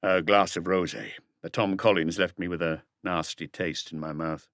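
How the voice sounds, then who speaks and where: A man voicing a shrewd police detective in an audiobook, speaking in a quiet, casual, measured way. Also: sits low, fairly even intonation, no echo, studio quality. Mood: quiet, wry. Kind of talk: audiobook character dialogue.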